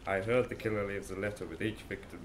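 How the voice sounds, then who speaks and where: Man acting superior analytical detective, lowish voice, matter-of-fact, pedantic, audio drama, background noise. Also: steady tone, fast talker, close to an everyday voice. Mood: cool, analytical.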